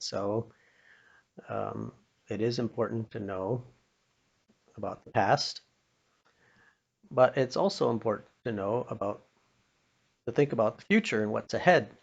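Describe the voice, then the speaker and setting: Gravelly older North American man telling stories. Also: fairly low pitch. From Pennsylvania, United States. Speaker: storyteller. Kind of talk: personal storytelling with a moral. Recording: dull, muffled lo-fi recording.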